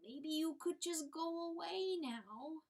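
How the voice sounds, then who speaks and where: A woman's voice: high-pitched, lively intonation, slow, a bit bright, pitched above the performer's own voice, exaggerated voice. A woman reading a storybook, voicing a timid, humble little crippled hen who whines and pleads in old-fashioned country speech. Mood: pleading.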